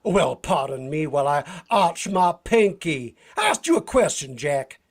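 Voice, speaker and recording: Man playing high, folksy detective. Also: very animated, measured pace, exaggerated voice. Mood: needling, impatient. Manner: folksy, drawling slang, complaining. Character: brash, folksy American detective, restless and needling. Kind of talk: 1940s radio detective drama acting.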